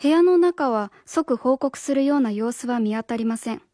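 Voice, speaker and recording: A woman's voice: a little high, lively intonation, normal speed, mellow, no room sound, studio quality, natural voice. An actress playing an earnest young female English teacher in a radio drama, speaking politely and formally. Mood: vigilant. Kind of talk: Japanese radio drama acting, dialogue and inner monologue.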